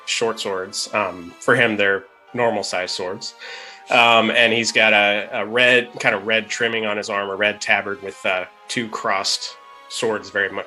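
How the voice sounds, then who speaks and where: Very bright, crisp-edged male tabletop player. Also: on the low side, low-quality recording. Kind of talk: casual character description. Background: background music.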